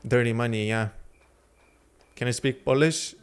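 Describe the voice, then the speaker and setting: A man's voice: mid-range voice, a little slow, clear, resonant tone. Young man streaming games, rambling slangy chat. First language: Lithuanian. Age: young adult. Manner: rambling, slangy, answers chat between game moves. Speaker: livestreamer. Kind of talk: casual livestream chat while gaming. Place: home streaming room, indoors. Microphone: headset.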